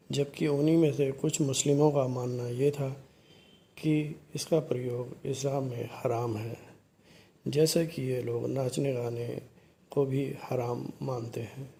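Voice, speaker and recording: A man giving explanatory commentary to viewers in short, halting phrases, as the narrator of a video. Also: medium pitch, steady tone, dark tone.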